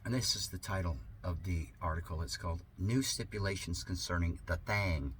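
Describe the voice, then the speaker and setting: A man speaking as an online host in a halting, emphatic, theatrical way, in the manner of someone sharing and reading satire aloud. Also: sits low, fairly bright tone. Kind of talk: rambling talk and dramatic sarcastic reading. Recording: noticeable background noise.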